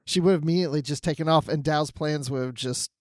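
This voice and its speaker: A man's voice: fairly high pitch, fast talker, darkish tone, no room sound, clean studio sound. A man co-hosting a podcast in a casual, chatty review style, often voicing imagined quotes as he talks. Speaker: podcast co-host.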